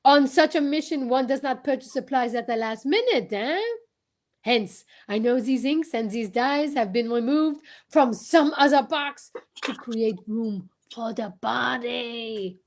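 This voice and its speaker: A woman's voice: a little high, unhurried. A woman playing a courteous, shrewd foreign male detective in an old-time radio drama, speaking formally with French words sprinkled in. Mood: explaining shrewdly.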